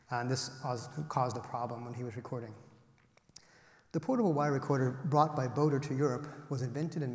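A man in his forties giving an academic lecture from a podium, measured and explanatory, stressing each item as he lists them. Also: medium pitch, darkish tone. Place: large auditorium, at a podium. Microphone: podium microphone. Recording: roomy hall with long reverb.

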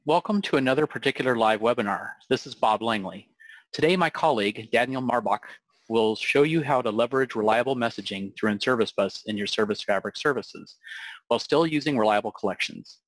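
A man's voice: medium pitch, a little fast, dry recording, low-quality recording. A man hosting an online webinar, giving a brief formal welcome and introducing the speaker. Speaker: webinar host.

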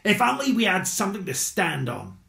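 A man's voice: high voice, huge pitch swings, brisk, gravelly, pushed and bright, reverberant, voice pushed up, full character voice. A man voicing an exasperated male badger leader, patient and strained.

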